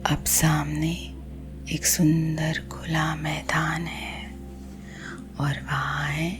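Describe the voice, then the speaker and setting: A woman with an Indian accent guiding sleep hypnosis in a husky, breathy ASMR whisper, gentle and soothing. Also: deep voice, flat delivery. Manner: slow, soothing, gentle, hushed. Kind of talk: whispered ASMR guided visualisation. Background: soothing music.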